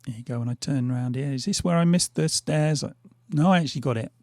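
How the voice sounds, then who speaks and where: A middle-aged man talks over his gameplay in a loose, hesitant ramble full of fillers. His voice is gravelly and fraying because he keeps losing it. The sound is dry and close, with no room around it. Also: mid-range voice, fast, a little mellow, no room sound. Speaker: gaming channel host. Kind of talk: casual gameplay commentary. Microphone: headphones on, desk mic.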